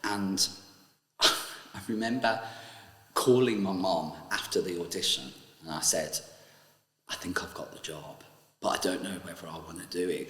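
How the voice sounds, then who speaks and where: Man, very low voice, earnest anecdote; echoey reverberant sound. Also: fairly bright tone. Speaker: interviewee, actor and dancer. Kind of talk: anecdotal interview.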